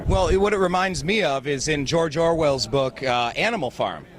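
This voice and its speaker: A man working as a street reporter, interviewing people in a brisk, chatty way. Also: on the high side, background noise. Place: outdoors on a city street.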